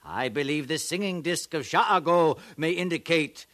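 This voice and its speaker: An actor playing a proud, pedantic elderly professor in a 1950s radio drama, speaking in a formal academic manner. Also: male voice, fairly high pitch, moderate pace, a bit bright, no echo, close to an everyday voice. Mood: proud, scholarly. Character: elderly distinguished professor of ancient languages, proud, pedantic, easily flustered.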